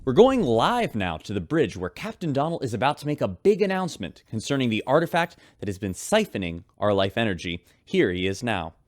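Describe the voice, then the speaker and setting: An actor playing a folksy spaceship radio host, delivering scripted news reports in an informal, wry announcer style. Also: male voice, mid-range voice, very animated, a little fast, few pauses, no room sound, lightly acted. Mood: wry, reporting. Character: folksy male radio newscaster aboard a spaceship.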